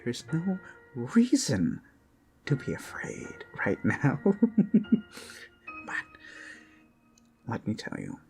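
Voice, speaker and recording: A performer of unclear sex improvising an ASMR roleplay as an obsessed kidnapper who dotes menacingly on a captive, halting and slipping into whispers. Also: medium pitch, huge pitch swings, heavily acted. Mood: creepy, gleeful. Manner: slow, halting, lapsing into whispers and giggles. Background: eerie background music.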